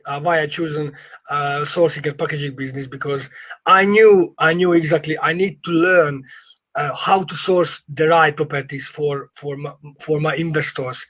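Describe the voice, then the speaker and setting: Husky higher-pitched man, non-native English. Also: fairly bright tone. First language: not English. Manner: emphatic, encouraging, repeats phrases. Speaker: property investment coach. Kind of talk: informal live training session.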